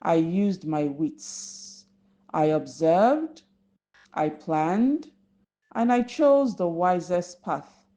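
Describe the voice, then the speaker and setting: A woman plays a clever, adventurous little crab from a storybook. He speaks very slowly and earnestly, in a fairly low, mellow tone. The recording is slightly dull. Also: expressive. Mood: calm, wise. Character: clever, humble, adventurous male river crab. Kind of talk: storybook character voice.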